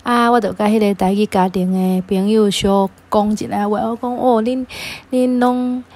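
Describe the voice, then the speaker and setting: A woman's voice: medium pitch, fairly even intonation, moderate pace, darkish tone. A woman with a Taiwanese accent, talking casually as a parent being interviewed about personal experience.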